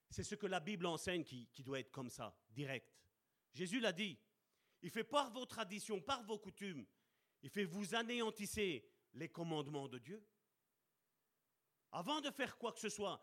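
A man preaching a sermon with passion, slowing down to stress key words and calling out to his brothers and sisters. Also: high voice, a bit bright. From Italian heritage. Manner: impassioned, addresses congregation as brother and sister.

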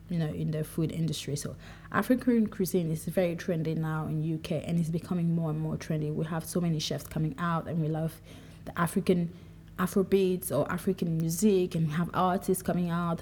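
A young woman from Cameroon speaking in a relaxed, reflective monologue, livening up when she quotes herself. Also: on the low side, slight background noise. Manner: conversational, animated when quoting herself. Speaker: podcast speaker.

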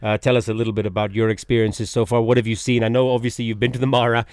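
A man's voice: mid-range voice, little pitch movement, racing, clean tone, no room sound. Man, radio host, morning show, hesitant, many restarts, dry sound.

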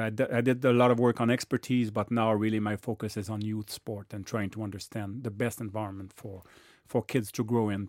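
A male guest researcher answering interview questions, hesitant and repeating words as he goes. His voice sits at a middle pitch. The sound is dry and close. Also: a little mellow, no echo.

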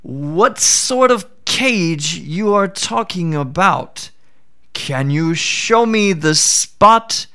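A cunning little jackal speaks in a raised, bright voice as a man reads the tale aloud. He drags out each question, pretending to be slow of understanding. The tone swoops around with lively pitch. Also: smooth, full character voice. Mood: sly. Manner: slow, feigned puzzlement, drawn out. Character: sly clever little male jackal.

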